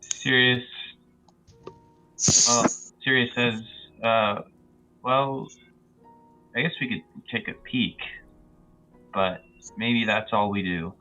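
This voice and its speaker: A man's voice: mid-range voice, fairly even intonation, takes it slowly, pauses often, sharp tone, dull audio. A man playing a tabletop role-playing game, chatting casually and tentatively about his moves. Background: faint music.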